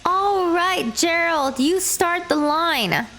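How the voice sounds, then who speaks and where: A woman voicing an impatient female play director who gives firm, slow instructions. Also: very high-pitched, lively intonation, pushed and bright, slight background noise, heavily acted. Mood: directing.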